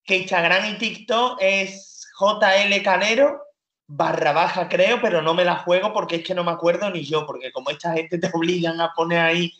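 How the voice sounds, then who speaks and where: A man's voice: sits high, moderate pace. A man, a comedian telling jokey, self-deprecating stories as a guest in a casual interview. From Spain. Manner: joking, self-deprecating, animated storyteller. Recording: roomy, dull lo-fi sound.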